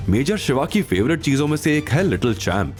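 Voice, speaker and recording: A man's voice: medium pitch, sing-song, a little fast, soft background music, pitched above the performer's own voice, lightly acted. A man voicing a bubbly, playful young woman with a light, teasing manner in an audio drama. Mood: wistful admiration. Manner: light, chirpy, casual.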